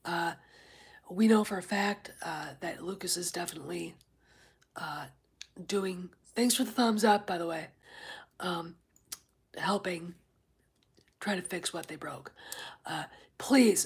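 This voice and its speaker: A woman's voice: on the low side, unhurried, pauses often. A woman hosting a casual livestream, a little stuffed up from congestion, rambling with many fillers and breaking off to greet chat viewers. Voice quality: very husky, often near a whisper. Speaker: livestream host.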